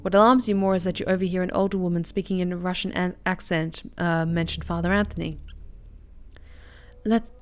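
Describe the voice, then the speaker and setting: A young woman streamer reading a visual novel aloud, with expressive bursts of excited commentary. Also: on the low side, fairly quick, clear, resonant tone. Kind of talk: game narration with live reactions.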